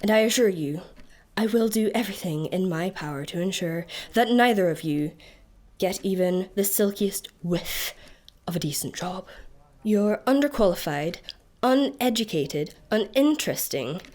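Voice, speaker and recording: A woman's voice: mid-range voice, lively intonation, moderate pace, darkish tone, natural voice. An actress with a Scottish accent playing a scornful, gleefully sinister female interrogation officer in a comic radio play. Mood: seething contempt.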